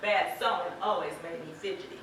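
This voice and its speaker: Distant echoey stage recording, woman acting as folksy farm wife, bright voice, sympathetic, quietly defiant, amateur play. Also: on the low side, a little fast. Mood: fidgety, thoughtful. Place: indoor theater stage, distant microphone. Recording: echoey stage from far off, faint noise.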